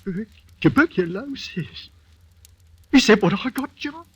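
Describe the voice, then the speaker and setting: A man's voice: sits high, lively intonation, a little slow, husky, full character voice. An actor playing a sick, terrified male convict with coarse, uneducated speech, pleading and desperate, in 1940s radio acting. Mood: suspicious.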